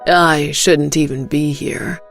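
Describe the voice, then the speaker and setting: A woman performs a big, grave male sheriff in an audio drama. The delivery is low, creaky and measured, serious throughout, with faint music behind it. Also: fairly even intonation, exaggerated voice. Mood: weary, probing. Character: Luke, large, grave small-town male sheriff.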